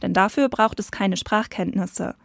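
A woman radio reporter narrating a scripted news feature in an even voice-over. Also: mid-range voice, measured pace, vocal fry, no room sound, clean studio sound.

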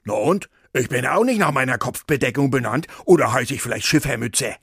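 An actor playing a curious, literal-minded ship's captain in a comic radio dialogue, with a gravelly, husky voice and a naive, nitpicking manner. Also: male voice, mid-range voice, expressive, brisk, a bit bright, no room sound, studio quality, full character voice. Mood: puzzled, nitpicking.

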